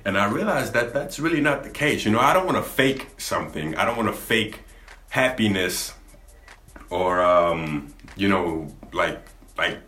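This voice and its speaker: A young man with a deep voice, talking candidly to camera in a laid-back, rambling way, often restarting his sentences. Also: expressive, a little slow, sharp tone, faint music behind. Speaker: gaming YouTuber. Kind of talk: candid vlog talk to camera. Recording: echoey room.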